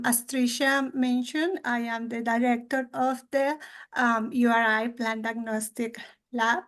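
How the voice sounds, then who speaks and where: A woman from Colombia with a Colombian Spanish accent, a plant pathologist introducing herself before a talk. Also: on the high side. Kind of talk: presentation introduction.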